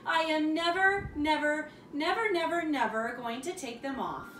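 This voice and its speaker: A woman voicing an excitable, stubborn young girl in a children's storybook, exclaiming and repeating words emphatically. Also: sits high, a little slow, reverberant, distant mic, over music, voice pushed up. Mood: defiant.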